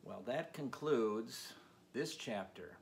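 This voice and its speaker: A man's voice: mid-range voice, expressive, slow pace. A man reading a children's storybook aloud as narrator. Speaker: audiobook reader. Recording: clear background noise.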